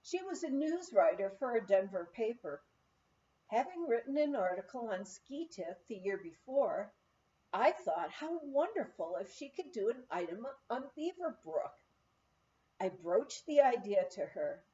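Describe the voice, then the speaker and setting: A slightly muffled recording of a woman narrating a memoir. Her voice is mellow and on the high side, and she tells the story at a slow, unhurried pace, as an audiobook reader would. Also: big pitch swings. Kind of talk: scripted narration of a humorous memoir.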